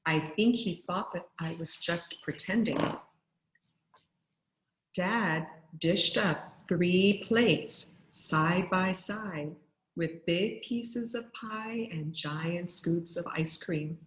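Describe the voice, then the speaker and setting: A woman reading a children's story aloud expressively, like a teacher, with deliberate pauses for effect. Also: lowish voice. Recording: roomy, echoing sound.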